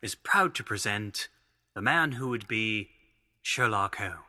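A man's voice: on the low side, animated, unhurried, bright, forceful tone. A man announcing and narrating an audio drama in a scripted, theatrical storytelling style. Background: music.

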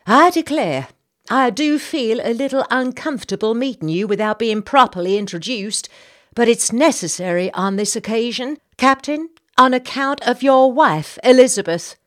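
A woman's voice: on the high side, lively intonation, moderate pace, pitched above the performer's own voice, lightly acted. A woman voicing a petite, genteel and insistent society lady, a talkative senator's wife, in audiobook dialogue. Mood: polite, insistent.

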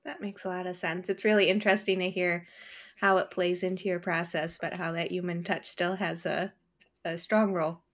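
A woman co-hosting a podcast, asking questions in a measured, encouraging way. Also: on the low side, flat delivery, fairly bright tone. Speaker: podcast co-host. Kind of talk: interview questions.

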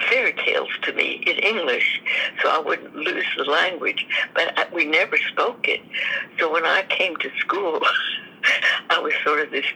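A woman in her nineties tells her memories as a guest, with pauses and small restarts. Her voice is bright and edged, with a husky, breathy grain. She speaks very slowly and takes her time with each thought. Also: sits low, poor audio. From United States. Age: 90s. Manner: slow, thoughtful storytelling with restarts. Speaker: guest, army veteran. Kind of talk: reminiscing interview answers.

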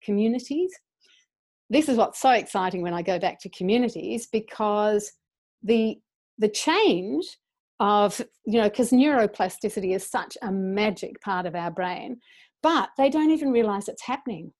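Female educator as interview guest, medium pitch, earnest and passionate, explanatory delivery. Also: expressive, moderate pace, darkish tone.

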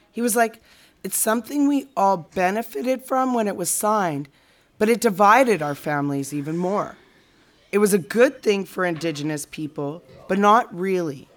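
A woman's voice: mid-range voice, close to an everyday voice. A woman playing a reflective, frustrated teacher in a naturalistic audio drama conversation. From Canada.

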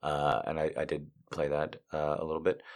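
A man's voice: very deep voice, monotone, fairly quick. A man, a voice actor, answering viewer questions in a rambling, self-deprecating way. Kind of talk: casual chat.

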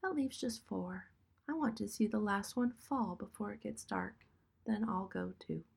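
A woman voicing a sick, weary young woman who speaks quietly, almost in a whisper. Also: medium pitch, normal speed, creaky, breathy edge. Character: weak, ill young woman.

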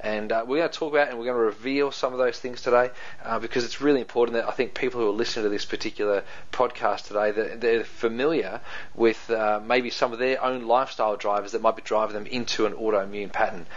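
A man's voice: mid-range voice, steady tone, fast. A man with a New Zealand accent, a podcast guest explaining health matters in a casual way with many fillers and easy laughter. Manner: laughs easily, jokes, many fillers and restarts. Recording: dull lo-fi sound.

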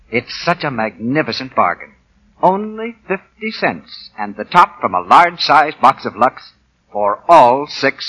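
A man's voice: medium pitch, sharp tone, slightly rough, poor audio. A man announcing for a sponsor on 1940s radio, with a polished, emphatic sales delivery, on an old broadcast recording.

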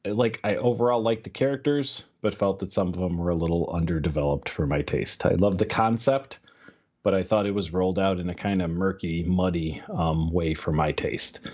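A man with a very deep voice chats casually as a podcast co-host. He rambles and restarts his sentences. The audio is slightly dull and lo-fi. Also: normal speed, a little mellow. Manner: rambling, self-correcting, candid.

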